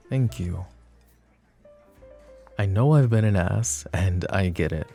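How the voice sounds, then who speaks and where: A smug mafia boss, acted by a man in a romantic audio roleplay, with a low, gravelly voice. He is flirty and possessive, teasing suavely and taking his time between phrases. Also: dark tone, full character voice. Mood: earnest, placating. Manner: teasing, suave, unhurried with pauses. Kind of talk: romantic ASMR roleplay acting.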